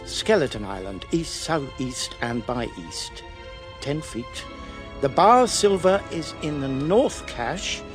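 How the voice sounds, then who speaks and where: A man playing a formal gentleman treasure hunter in a dramatised adventure. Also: mid-range voice, expressive, slow, over music. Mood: intent. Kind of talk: dramatised audio adventure acting.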